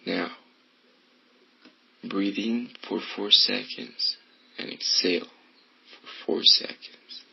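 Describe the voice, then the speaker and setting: Male meditation guide, low pitch with gravelly fry, hushed delivery, slightly muffled recording. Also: fairly even intonation, slow, pauses often. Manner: hushed, breathy, often slipping toward whisper. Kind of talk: guided meditation, soothing instruction.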